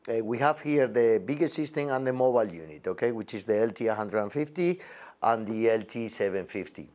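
A man in his forties with halting, non-native English gives an unscripted product demonstration as a presenter, recorded indoors on a lapel mic. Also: on the low side, animated, a little mellow. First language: not English. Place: indoor demo set with backdrop.

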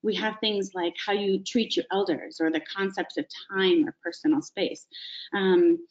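A woman's voice: medium pitch, moderate pace, a little mellow. A woman in her thirties leads a teaching webinar from home, speaking into a webcam. She explains each point patiently and checks in with the listener as she goes. The video-call audio sounds slightly dull. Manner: explanatory, frequent "right?" check-ins. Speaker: webinar presenter. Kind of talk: educational webinar presentation.

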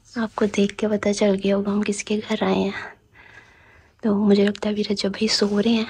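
A young woman talks casually into a phone held at arm's length, mixing in English words as she vlogs. Her delivery is even and flat, and her voice is soft and mellow. Faint background noise sits under her voice. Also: mid-range voice. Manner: chatty, mixes in English words; hushed, half-whispered indoors. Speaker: vlogger. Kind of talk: casual vlog chat. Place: home and auto-rickshaw.

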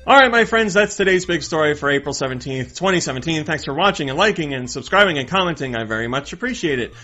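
A man's voice: a little high, lively intonation, quick pace, bright, forceful tone, highs cut off. A man in his thirties hosting video game news in a casual, friendly, upbeat way, talking to viewers. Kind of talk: casual vlog-style news commentary. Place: small indoor room with game shelves. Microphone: desktop condenser mic close in front. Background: background music.

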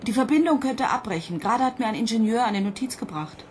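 Woman playing calm space psychologist, highish voice, attentive, radio drama, slight noise behind. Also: quick pace, natural voice. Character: calm, attentive female psychologist at ground control. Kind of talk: German radio play acting.

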